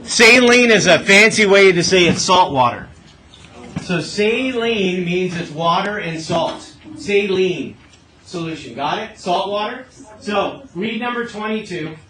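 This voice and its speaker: High, bright-voiced man teaching math. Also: lively intonation, unhurried. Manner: informal, frequent check questions. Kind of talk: classroom lesson, working a problem aloud. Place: classroom with students. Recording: noisy classroom recording.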